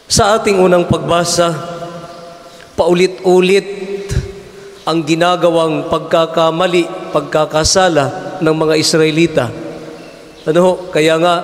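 A Filipino priest in his forties preaching a homily at a lectern mic, measured and asking rhetorical questions. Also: a little high, steady tone. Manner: measured, asks rhetorical questions, mixes in English terms. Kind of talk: sermon. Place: large church, indoors. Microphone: stand mic at lectern. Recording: echoey church with long reverb.

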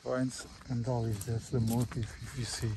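A man with a Portuguese accent giving an informal property walkthrough as an estate agent, in halting, simple English with short phrases. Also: mid-range voice, little pitch movement, mellow, rough recording. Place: outdoors on a rural farm. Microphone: handheld phone or camera held by speaker. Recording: outdoor handheld recording with faint background noise.

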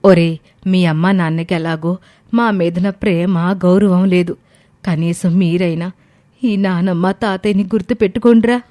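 A woman's voice: fairly low pitch, steady tone, a little slow, mellow, natural voice. A woman voicing an aggrieved older mother and grandmother who scolds and complains in a family story. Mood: plaintive. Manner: scolding, plaintive.

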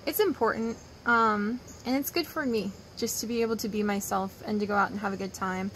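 A woman's voice: mid-range voice, a little fast, a bit bright, slight background noise. A young woman in her twenties talks casually to her viewers from an outdoor wooden deck, rambling and upbeat. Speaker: vlogger. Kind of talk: casual chatty vlog. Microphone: phone or camera held close.

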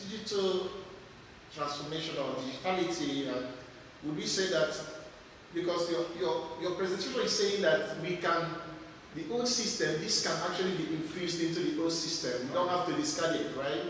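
A young man in the audience of a lecture room asks a long, halting question full of fillers. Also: a little high, fairly bright tone, a little hiss or hum. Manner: hesitant, halting, many fillers. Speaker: audience member asking a question. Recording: echoey hall from far off.

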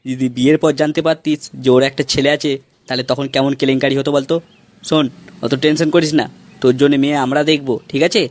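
A man's voice: medium pitch, fairly even intonation, fast, dry recording, natural voice. A man voicing a blunt young friend who consoles, in a comedy cartoon. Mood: consoling.

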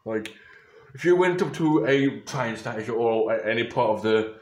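A man's voice: medium pitch, animated, moderate pace. Rambling young man in an echoey bedroom. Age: young adult. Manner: rambling, restarts and self-corrections. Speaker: YouTuber reacting to photos. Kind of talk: rambling casual vlog commentary. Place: small bedroom indoors. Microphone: webcam or phone camera at close range.

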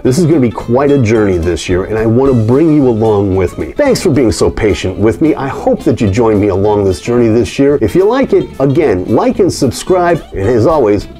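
Animated, mellow-voiced middle-aged man vlogging. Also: medium pitch. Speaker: vlogger and podcast host. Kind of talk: chatty vlog to camera. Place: living room indoors.

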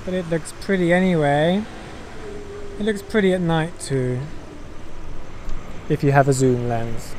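A man's voice: a little high, measured pace, pauses often, darkish tone, slight background noise. A young adult man making dry, joking quips on a casual travel vlog, outdoors in a city at night on a handheld camera. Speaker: vlogger.

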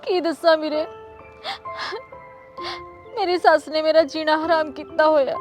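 A young Punjabi daughter-in-law acted by a woman pleads in a very high, soft voice that wavers with tears. Faint music plays behind her. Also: fairly even intonation, moderate pace. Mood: tearful. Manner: pleading, weepy, defiant. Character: young tearful put-upon daughter-in-law.

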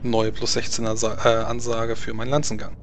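A man working as game master, narrating a tabletop role-playing game in a conversational, halting improvised way. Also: medium pitch, monotone.

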